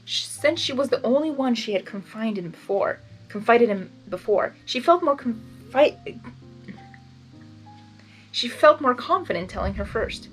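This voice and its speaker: A woman's voice: a little high, animated, a little slow, breathy edge, faint music behind, poor audio. A woman doing a blind reading of a fan story, stumbling on words and adding gushing asides. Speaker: reader.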